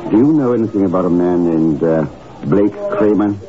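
An actor as a deep-voiced, cool secret agent. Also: male voice, normal speed, lightly acted. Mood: cool, probing. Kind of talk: 1950s radio drama acting. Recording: muffled old radio recording, music.

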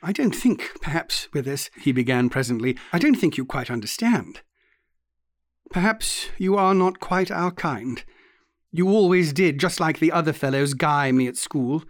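A man's voice: on the high side, expressive, moderate pace, no room sound, clean studio sound, lightly acted. A man voicing an intense, haunted young man who speaks earnestly and insistently in an audiobook story. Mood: brooding. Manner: earnest, insistent, guttural at times.

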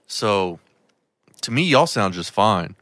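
A man with a deep voice and a rough throat, talking loosely as a podcast guest, swearing and stumbling over restarts. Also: sing-song, takes it slowly, fairly bright tone, dry recording. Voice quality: deep voice, throat often raspy. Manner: rambling, swears, stammers on restarts. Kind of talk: casual chat.

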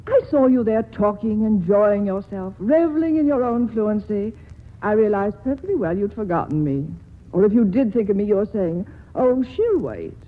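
An actress playing a wealthy, dignified woman with a sharp, wounded manner in a 1940s radio play. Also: medium pitch, lively intonation, normal speed, big-room sound, natural voice. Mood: reproachful. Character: rich proud adult woman, jealous. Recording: tinny old radio broadcast.

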